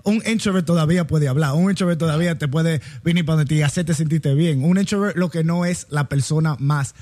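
A man's voice: a little high, fairly quick, mellow. A man chatting in a group discussion, often switching between two languages mid-sentence.

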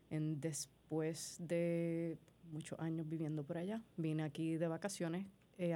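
A woman from Puerto Rico with a Puerto Rican accent, a nonprofit founder speaking as a radio guest in a friendly, quick way. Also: lowish voice, a little mellow. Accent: Puerto Rican Spanish.

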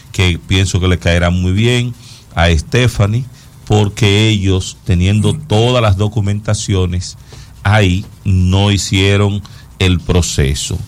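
A man with a Dominican accent giving conversational news commentary as a talk show host, explaining things and repeating words for emphasis. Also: on the low side.

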